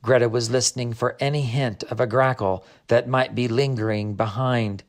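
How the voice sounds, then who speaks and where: A man narrating a children's adventure story, reading from a script in a measured, expressive way. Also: mid-range voice. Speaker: narrator, author reading own book.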